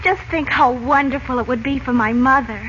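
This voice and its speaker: Anxious American teen girl, high girlish voice, earnest, 1940s radio drama, noisy old recording. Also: a little fast, a bit bright, muffled recording. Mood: earnest, worried. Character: American teenage girl, eager, naive, anxious daughter seeking her father.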